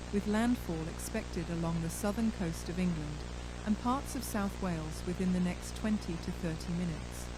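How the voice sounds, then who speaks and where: A woman reads a scripted emergency broadcast announcement in a formal, measured manner. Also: fairly low pitch, background noise.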